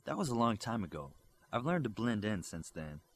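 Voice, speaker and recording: A composed, regal woman of worldly experience speaks in an audiobook story, voiced by a man. Her pitch moves freely, and her manner carries quiet authority. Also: big pitch swings, moderate pace, slight background noise. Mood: composed, wistful.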